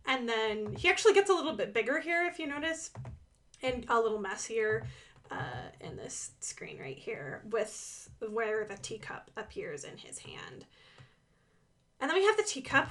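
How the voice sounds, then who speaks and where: A woman's voice: fairly high pitch, pushed and bright. A woman giving casual, enthusiastic commentary on her own animation, playful, with sing-song asides and dramatic emphasis.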